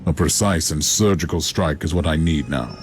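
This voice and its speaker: An actor playing a stern, suspicious, commanding inquisitor in a sci-fi video game, speaking formally and curtly. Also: male voice, very deep voice, moderate pace, faint music behind. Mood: businesslike. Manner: formal, curt, demanding. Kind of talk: dramatic video game dialogue.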